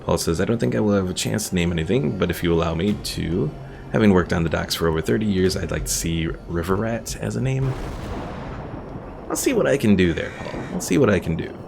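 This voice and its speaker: A man's voice: low voice, animated, brisk, gravelly, background noise. A man giving casual, easygoing live game commentary, thinking aloud and reading out chat as a streamer.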